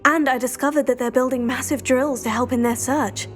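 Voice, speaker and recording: A woman's voice: high-pitched, fairly even intonation, a little fast, soft background music, close to an everyday voice. An actress playing an earnest, curious young student witch, in a video game cutscene.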